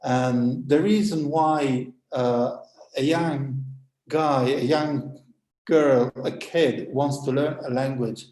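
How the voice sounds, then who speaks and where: A man's voice: mid-range voice, dark tone, roomy sound, low-quality recording. A man whose native language is Italian, giving a hesitant conference talk on an online panel, with many fillers and restarts. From Italy.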